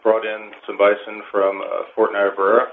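A man being interviewed as a wildlife manager, giving measured, technical explanations. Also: on the low side, level tone, vocal fry. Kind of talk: informative interview answers. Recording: phone-like line with faint noise.

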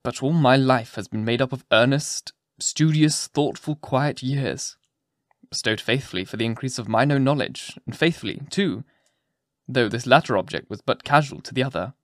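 A man's voice: medium pitch, normal speed, no echo, clean studio sound, natural voice. Male reader as elderly physician turned fiend: vengeful, brooding, archaic and theatrical storybook delivery. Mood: brooding gloom. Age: older.

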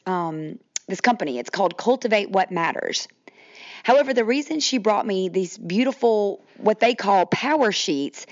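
A Southern woman in her thirties hosts her podcast, talking straight to listeners in a chatty, friendly way. Her voice is croaky from allergies. The recording sounds a little dull. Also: mid-range voice. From Mississippi, US. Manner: chatty, says "y'all", addresses listeners directly. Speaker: podcast host. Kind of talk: casual podcast monologue.

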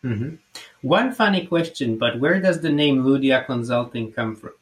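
A man's voice: medium pitch, animated, normal speed, a crowd in the background. A man whose first language is Slovak, hosting a business interview and asking friendly, summarizing questions. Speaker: interview host.

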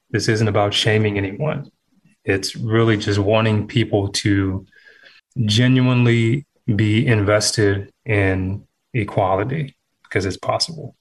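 A man with a lowish voice talks as a podcast guest in a measured, thoughtful way. He slows down to stress his key points and speaks with steady conviction. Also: low-quality recording. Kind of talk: earnest interview talk.

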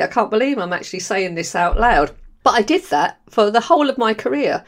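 An older woman with a London accent hosting her podcast, talking candidly with frequent laughs. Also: a little high. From London, England. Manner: candid, animated, laughs often. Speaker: podcast host. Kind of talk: personal monologue.

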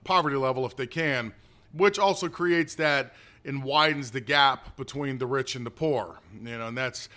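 A man's voice: mid-range voice, moderate pace, pushed and bright. A man hosting a political talk show, delivering opinionated conservative commentary in a plain-spoken, conversational monologue.

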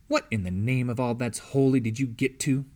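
A man's voice: mid-range voice, normal speed, darkish tone, voice pushed up, close to an everyday voice. Man voicing a blunt, folksy army wife. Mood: grumbling. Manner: folksy, blunt, grumbling, nonstandard grammar. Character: blunt, gruff pregnant British army wife.

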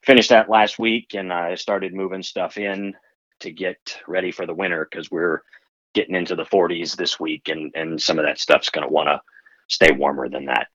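A man being interviewed as a guest, explaining plant growing in a relaxed way with many restarts. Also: low-pitched, moderate pace, no room sound, highs cut off. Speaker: podcast guest, plant grower. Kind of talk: casual interview talk.

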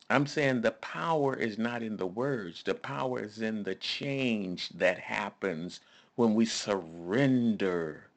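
A man's voice: fairly low pitch, gravelly. A man preaching a sermon in a conversational, emphatic way, repeating phrases and calling on listeners to respond.

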